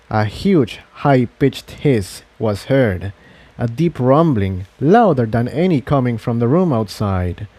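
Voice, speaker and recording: A man reading an adventure fan fiction story aloud as scripted narration, in a mellow voice. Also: medium pitch, dry recording.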